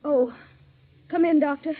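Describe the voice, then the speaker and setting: An actress playing an anxious, suspicious wife in a 1940s radio drama, tense and halting. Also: female voice, high-pitched, steady tone, noisy background. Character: anxious, suspicious wife tormented by growing fear. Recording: dull old 1940s radio recording.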